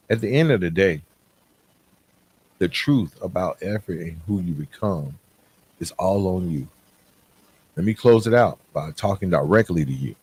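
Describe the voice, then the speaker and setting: A man's voice: low-pitched, a little mellow. A middle-aged man from Atlanta, hosting a motivational podcast and speaking earnestly and conversationally straight to the listener. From Atlanta, United States. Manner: earnest, conversational, with rhetorical questions and check-ins. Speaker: podcast host. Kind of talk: motivational talk addressed to the listener.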